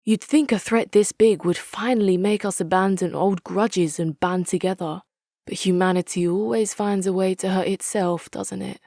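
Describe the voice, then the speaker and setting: A hard-nosed woman commander, played by an actress for a strategy video game. She speaks in measured, authoritative phrases with a wry edge and a middle pitch. The sound is dry and close, free of room echo. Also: steady tone, mellow, lightly acted. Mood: dry, resigned. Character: hard-nosed, articulate female military commander, dry and resolute. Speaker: video game faction leader. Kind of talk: dramatic scripted game quotes.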